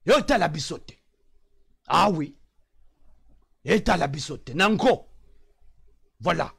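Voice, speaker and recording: A man from the Republic of the Congo delivering an impassioned political monologue as an online commentator, emphatic and repetitive, dropping into low sighing asides. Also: expressive, slow pace, creaky, a bit bright, husky.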